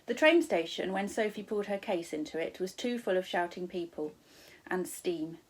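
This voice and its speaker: A woman's voice: on the low side, expressive, normal speed, breathy edge. A woman with a British accent, a primary school teacher, narrating a children's storybook expressively.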